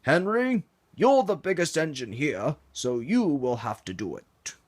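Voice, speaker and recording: A man's voice: a little high, big pitch swings, a little slow, pitched above the performer's own voice. A man voicing a stern, bossy railway controller giving firm orders in a storybook reading. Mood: urgent.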